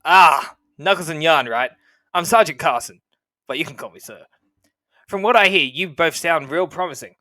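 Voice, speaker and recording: Male actor as abrasive wasteland sergeant for a game; highish, forceful, crude and bullying, very dry sound. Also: normal speed, no room sound. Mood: brash, grim. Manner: aggressive, crude, domineering. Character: foul-mouthed, bullying wasteland army sergeant, man. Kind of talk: scripted game cutscene dialogue.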